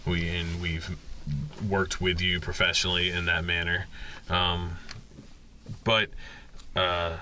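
A man's voice: deep voice, completely flat delivery, slow pace, long pauses, pushed and bright, slight background noise. A man co-hosting a casual podcast interview, talking in a rambling, chatty way. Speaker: podcast co-host, bartender.

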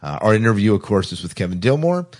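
A man's voice: on the low side, big pitch swings, quick pace, darkish tone. A man hosting a podcast, chatting casually as he runs through show announcements. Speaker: podcast host.

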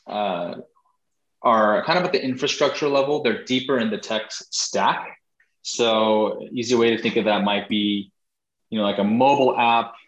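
A man's voice: on the low side, a bit bright, roomy sound, lo-fi sound. A man, a startup founder, answering interview questions over a video call in a thoughtful way with many fillers.

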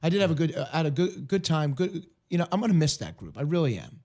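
Man, hoarse voice, radio host, earnest, halting, restarts often. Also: fairly high pitch, very animated, darkish tone. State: hoarse from illness. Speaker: ministry program director.

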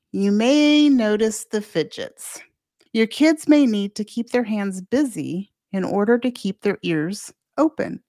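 A woman's voice: fairly high pitch, darkish tone, dry recording, clean studio sound. A woman presenting a scripted solo podcast as its host, speaking directly and encouragingly to parents.

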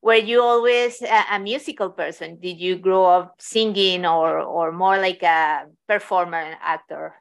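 A woman's voice: fairly low pitch, fairly even intonation, unhurried, gravelly, hardly any pauses, clear, resonant tone. A woman interviewing a guest with friendly, short encouraging replies. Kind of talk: casual interview chat.

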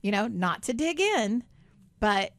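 A woman's voice: medium pitch, big pitch swings, unhurried, no room sound. A woman hosting a radio show in a studio, talking in a chatty, upbeat way with many asides. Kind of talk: casual talk radio chat.

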